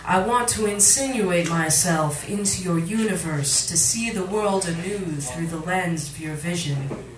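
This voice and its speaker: A woman reciting poetry at a live reading, with dramatic, deliberate phrasing. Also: fairly low pitch. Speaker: poet, featured reader. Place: literary cafe, indoors, live reading. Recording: echoey room recording from far off, audience noise. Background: cafe audience sounds.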